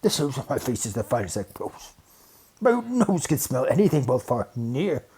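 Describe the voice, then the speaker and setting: A man voicing a big, gruff, boastful bear with a growl and a sneer for a children's storybook. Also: medium pitch, very animated, normal speed, warm tone. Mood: bragging. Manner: bragging, snorting, grunting.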